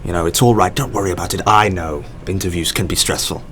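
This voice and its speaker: A man's voice: low voice, fast, fairly bright tone, soft background music. An actor in an audio drama playing a mysterious, encouraging male company boss who is polished, effusive and probing. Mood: reassuring.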